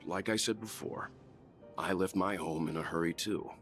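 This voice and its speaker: An actor voicing a calm, reflective young male traveler in game dialogue, speaking softly and earnestly. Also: low-pitched, normal speed, soft background music.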